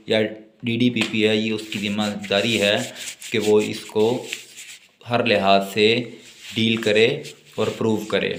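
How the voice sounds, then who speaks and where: A man with a Pakistani accent giving a law lecture, alternating deliberate reading of legal text with explanations in his own words. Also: fairly low pitch, a bit bright. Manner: switches between slow, deliberate reading in English and quicker explanation.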